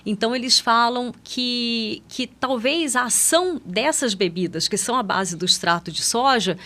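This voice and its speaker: A woman's voice: on the high side, moderate pace, bright, forceful tone. A Brazilian woman speaking as a medical podcast guest, explaining things conversationally and often checking in with little agreement tags. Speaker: guest doctor, pediatric endocrinologist.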